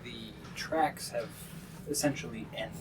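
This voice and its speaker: A man running a tabletop fantasy game, improvising narration and piecing his descriptions together haltingly. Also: mid-range voice, background noise. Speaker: game master.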